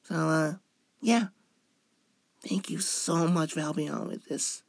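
A man's voice: fairly high pitch, takes it slowly, vocal fry, dry recording, full character voice. A young man playing a cute, shy, playful femboy in an ASMR roleplay, coy and hesitant, drawing out his words. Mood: soft, pleased.

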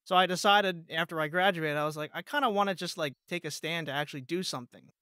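Casting: young male podcast guest, fast casual storyteller, clean smooth voice, dry sound. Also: fairly high pitch, sharp tone, no room sound. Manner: rambling, quotes own thoughts. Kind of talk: casual chat, anecdotes.

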